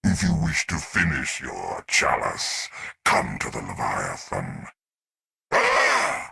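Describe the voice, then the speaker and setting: A man playing a grandiose, indulgent, scheming alien emperor in a video game, with a deep, raspy voice and pompous, theatrical delivery that savors each word. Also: bright, forceful tone, exaggerated voice. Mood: intimate, gloating. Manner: pompous, theatrical, savoring words with big swells. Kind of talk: scripted video game dialogue.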